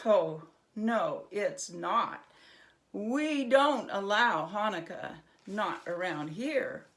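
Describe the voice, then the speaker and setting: A woman voicing a tiny, winged male goblin from a children's storybook, squeaky, cocky and easily frightened. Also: lively intonation, extremely slow, fairly bright tone. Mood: cocky, scolding. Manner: blustering, stammering when scared. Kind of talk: acted children's storybook reading.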